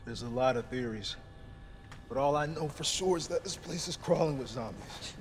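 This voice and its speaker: A man's voice: medium pitch, moderate pace, slight background noise, lightly acted. An actor playing an injured, selfless American police officer in a video game, urging and earnest as he speaks. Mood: weary, helpful. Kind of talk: video game cutscene voice acting.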